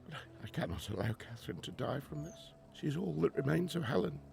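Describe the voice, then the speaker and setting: A man acting in a radio drama as a middle-aged 1920s professor and grieving father, formal and earnest, halting when troubled. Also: on the high side, animated, creaky, a little mellow, faint music behind. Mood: sorrowful, solemn.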